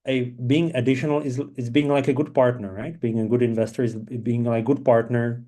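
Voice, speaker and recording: A man's voice: mid-range voice, fairly even intonation, fairly quick, warm tone. A middle-aged man talking on a webinar panel in a loose, conversational way, with frequent fillers. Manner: conversational, frequent "you know" and "um". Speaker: impact investor, panelist. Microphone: video call.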